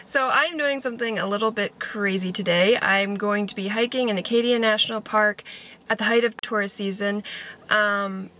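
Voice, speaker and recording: A woman's voice: mid-range voice, moderate pace, bright, forceful tone, a little hiss or hum. A young woman reporter chatting casually as she hikes a trail, giving offhand tips to the viewer. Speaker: newspaper reporter. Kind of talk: casual hiking vlog. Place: outdoors on a forest trail, some lines in a car.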